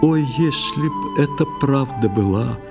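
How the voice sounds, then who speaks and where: An actor playing a fearful, lovestruck knight in an audio play, speaking theatrical, impassioned verse. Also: male voice, mid-range voice, unhurried, music in the background, close to an everyday voice. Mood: yearning. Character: fearful, lovestruck male knight facing death.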